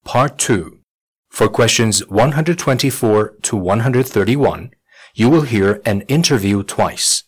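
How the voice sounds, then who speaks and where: A man announcing item numbers and instructions for a listening exam in a measured, formal reading. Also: fairly low pitch, animated, breathy edge, poor audio. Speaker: test announcer.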